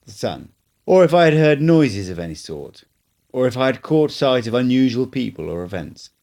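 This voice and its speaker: A man reads a first-person audiobook in a steady, earnest narration. His voice sits somewhat low. The delivery is that of an amateur reader, not a polished professional.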